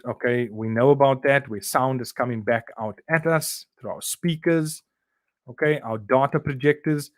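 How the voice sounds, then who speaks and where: South African teacher talking in a dry room. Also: male voice, medium pitch, darkish tone, dry recording. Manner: explanatory, with frequent "okay?" and "right?" checks; uses fillers and repeats words while explaining. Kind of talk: recorded revision lesson.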